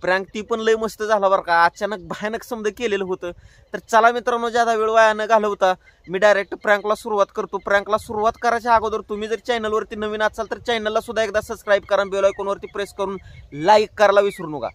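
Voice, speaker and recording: High-pitched man with rural Maharashtrian accent. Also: steady tone, quick pace, fairly bright tone, no room sound. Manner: colloquial, addresses viewers directly. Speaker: prank-call channel host. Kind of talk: casual chatty vlog narration.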